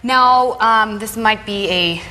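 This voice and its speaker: A woman's voice: mid-range voice, slow, sharp tone. A woman hosting an interview in a casual, conversational style. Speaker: interview host. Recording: long hollow room reverb.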